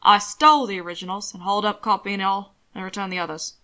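A woman's voice: mid-range voice, fast, bright, forceful tone, natural voice. A woman voicing a cheeky, loyal and plain-spoken male aide in an audiobook story. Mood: matter-of-fact.

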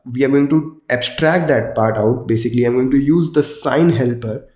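A man's voice: mid-range voice, normal speed, mellow, reverberant. A young man with a sore throat, hosting a casual coding tutorial and explaining each step conversationally from a small room at his desk. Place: small indoor room with wardrobe.

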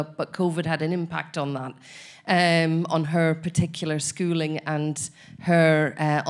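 Low-voiced Irish woman panellist. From Ireland. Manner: candid, personal, opinionated. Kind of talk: panel answer. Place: large conference hall. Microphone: handheld microphone.

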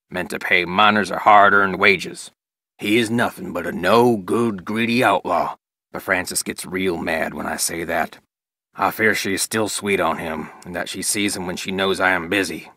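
A man's voice: low voice, bright, forceful tone, no room sound, studio quality. An actor playing a stern, grieving 1880s rancher father, reading journal entries with a rural Western American accent in a plain, folksy way. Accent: rural American West. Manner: plain-spoken, rustic, folksy.

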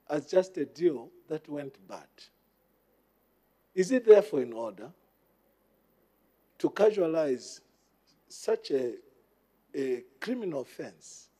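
A Zambian-accented man addresses parliament formally as a member. His voice is husky and dark, and he goes slowly and haltingly through his argument. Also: fairly high pitch. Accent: Zambian English. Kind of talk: formal point of order.

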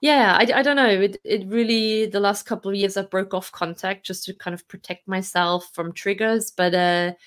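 A woman in her forties from Austria speaks as a podcast guest, telling her own story in a reflective way with soft laughs and fillers. Her pitch sits in a middle range, neither high nor low. She talks candidly and keeps going through long stretches. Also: steady tone, moderate pace, few pauses. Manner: reflective, laughs softly between hard memories, many fillers.

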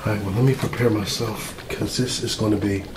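A man playing an eager, self-important mad scientist doctor in an ASMR roleplay, musing aloud in halting short phrases. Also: on the low side, fairly even intonation, lightly acted. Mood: proud, excited. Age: middle-aged. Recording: roomy, distant mic with loud noise.

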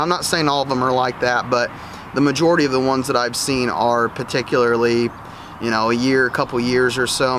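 A man in his thirties hosting a fishing channel, talking casually and enthusiastically to the camera outdoors by a park pond, with plenty of fillers and restarts. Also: mid-range voice. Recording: outdoor camera audio with clear background noise.

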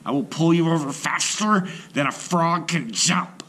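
A man voicing a huge, vain female hippopotamus in a storybook, loud, scoffing and boastful. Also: a little high, measured pace, slight background noise, pitched above the performer's own voice. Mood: haughty.

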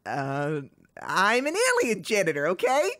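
A woman voicing a brooding, put-upon male cartoon duck in a comic parody dub, halting, exasperated and dramatic. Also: on the low side, big pitch swings, fairly bright tone. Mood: evasive. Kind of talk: live comic dub reading.

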